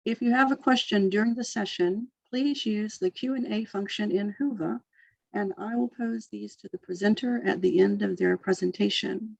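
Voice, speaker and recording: A woman's voice: medium pitch, normal speed, creaky, darkish tone, no echo, lo-fi sound. Woman reading formal conference announcements. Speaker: session convener.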